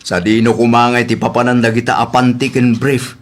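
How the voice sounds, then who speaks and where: Man as village husband, Ilocano accent, medium pitch, teasing, easygoing, comedy drama. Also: flat delivery, a little fast, lightly acted. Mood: amused, advising. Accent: Ilocano Filipino.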